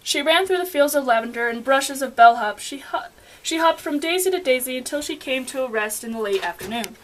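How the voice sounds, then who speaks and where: A woman's voice: high voice, expressive, a little fast, rough recording. A young woman narrating a scripted fairy tale as storyteller, stumbling over words now and then as she reads.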